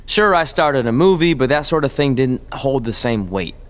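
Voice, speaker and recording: An actor playing an ambitious, determined young pro wrestler in a video game, speaking in an earnest, conversational way. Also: male voice, medium pitch, expressive, moderate pace, natural voice. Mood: reflective. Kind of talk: video game cutscene dialogue and narration.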